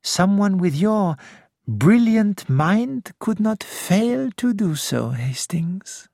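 A reader voicing an elderly male detective who speaks gently and slowly, with a self-assured manner. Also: medium pitch, lively intonation, gravelly, dry recording, studio quality, pitched above the performer's own voice. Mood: measured. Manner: gentle, measured, slow.

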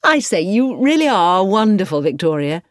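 A woman's voice: mid-range voice, big pitch swings, measured pace, dry recording, studio quality, voice pushed up. A woman voicing a cheerful, admiring young man who exclaims eagerly.

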